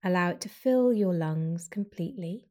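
A woman guiding a breathing meditation with slow, soft, soothing instructions and long pauses. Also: on the low side. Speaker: meditation guide.

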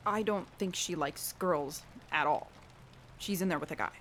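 A woman's voice: low voice, normal speed, a bit bright, a little hiss or hum. A woman playing a guarded, irritable young loner in a comic audio drama, curt and defensive. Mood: guarded, exasperated. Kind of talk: audio drama comedy acting.

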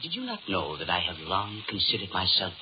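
An actor playing a suave devil posing as a stranger in 1930s radio horror, speaking formally and deliberately with ominous courtesy. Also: male voice, low-pitched, wildly swooping pitch. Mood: ominous, grandiose. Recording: muffled, noisy 1930s radio recording.

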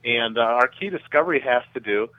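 A man's voice: mid-range voice, lively intonation, a little fast, dry recording, narrow, tinny audio. A man speaking as an expert guest in a casual radio interview, explaining things in plain words. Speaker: guest, neuroscience researcher and company co-founder.

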